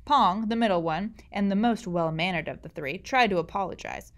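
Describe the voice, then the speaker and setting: A woman teacher reading a children's story aloud, expressive storybook reading. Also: mid-range voice, normal speed.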